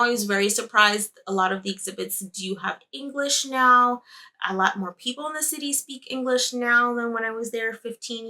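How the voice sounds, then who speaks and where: A woman's voice: medium pitch, sharp tone. A woman whose first language is Spanish, hosting a podcast and chatting in a rambling way about a trip, correcting herself and laughing often. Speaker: podcast host. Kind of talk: casual travel recap.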